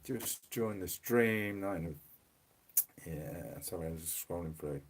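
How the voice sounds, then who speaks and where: A man hosting a casual livestream from his phone, hoarse from losing his voice, rambling and repeating words as he reads comments. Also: sits low, a little slow. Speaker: livestream host. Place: small home room. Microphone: mobile phone.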